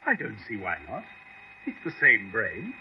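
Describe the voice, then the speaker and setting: A man's voice: on the low side, huge pitch swings, measured pace, full character voice. A man playing a persuasive doctor of experimental science in a radio drama, emphatic and theatrical. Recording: dull, slightly noisy vintage radio recording.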